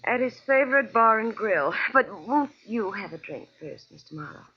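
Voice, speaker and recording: A coquettish young woman who toys with every word, her breathy voice rising and dipping. The sound is muffled, like an old radio broadcast. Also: on the high side, animated, normal speed. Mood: coy. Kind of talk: 1940s radio acting.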